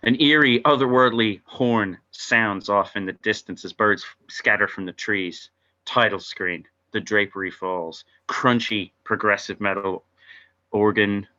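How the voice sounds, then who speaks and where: A man's voice: fairly low pitch, a little slow, bright, forceful tone. A man narrating stage directions from a script at an informal table read, heard over lo-fi video call audio.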